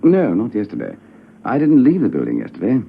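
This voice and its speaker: An actor playing a young, defensive security officer in a radio drama, deferential but clipped and emphatic. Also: male voice, fairly low pitch, huge pitch swings, fairly quick, vocal fry, breathy edge, full character voice. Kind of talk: spy radio drama acting. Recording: muffled old radio recording.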